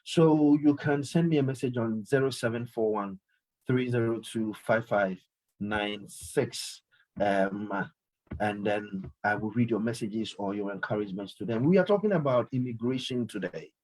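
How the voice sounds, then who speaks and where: A man's voice: fairly low pitch, moderate pace, darkish tone, poor audio. A man hosting a live call-in radio show, talking emphatically and often repeating his phrases. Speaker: radio host.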